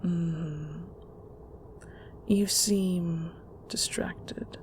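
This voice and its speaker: A woman playing a controlling, middle-aged mother in an audio roleplay, with condescending sweetness and a patronizing, deliberate delivery. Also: lowish voice, steady tone, darkish tone, slight background noise. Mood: coaxing, teasing. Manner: patronizing, slow, deliberate interrogation.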